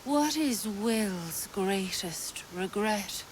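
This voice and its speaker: A mystical female seer for a video game, over a faint hiss. Her voice is hushed and of middle pitch. She speaks extremely slowly, as if leading a gentle, solemn ritual. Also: lively intonation, rough recording. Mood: grave, probing. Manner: hushed, breathy, ritual incantation, deliberate. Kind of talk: dramatic video game acting.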